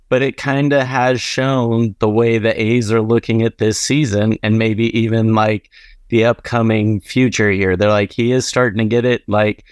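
A male co-host with a middle-pitched voice, relaxed and conversational. His talk is loose and full of filler words. The recording is dry and close to the mic. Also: no echo. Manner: conversational, full of "like" and "you know". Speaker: podcast co-host. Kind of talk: casual sports podcast talk.

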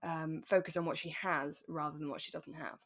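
A woman's voice: low-pitched, fairly even intonation, a little fast. A British woman co-hosting a podcast, chatting casually and thoughtfully, explaining her points in an agreeable way. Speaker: podcast co-host. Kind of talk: casual chat discussing a radio drama.

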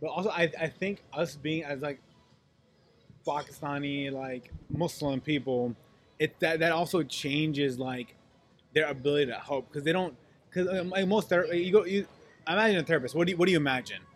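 A man in a casual chat, halting and repeating words, turning animated as he gets going. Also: on the high side.

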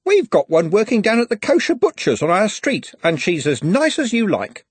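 A man's voice: high voice, wildly swooping pitch, normal speed, breathy edge, no echo, voice pushed up, heavily acted. A man voicing a gossipy older lady. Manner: gossipy and emphatic. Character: chatty, gossipy older woman eating sweets.

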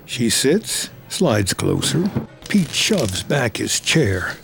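A man narrating scripted drama action in measured, terse storytelling. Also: medium pitch, sing-song, creaky, fairly bright tone, soft background music.

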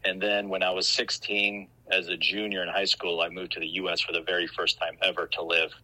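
A middle-aged man with an American accent, telling his story as a podcast guest in an explanatory, measured way. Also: low voice, little pitch movement, sharp tone, low-quality recording. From Greece. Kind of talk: informal interview storytelling.

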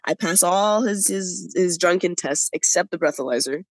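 A person in their twenties, an interview guest, telling a personal story in a chatty, animated way. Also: medium pitch, normal speed, dry recording. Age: 20s. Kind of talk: casual storytelling.

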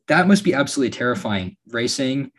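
A young man from Alaska hosting a podcast, telling stories in a relaxed way with lots of fillers and a slightly raspy voice. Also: medium pitch, quick pace. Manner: relaxed storytelling with frequent fillers and laughs. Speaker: podcast host. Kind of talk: casual podcast chat.

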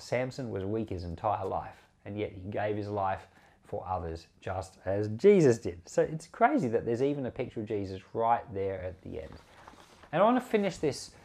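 A man's voice: on the low side, a little mellow. A man preaching an informal Bible commentary in an earnest, conversational way, repeating and rephrasing his points for emphasis. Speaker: preacher and Bible podcast host. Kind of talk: informal sermon commentary on scripture.

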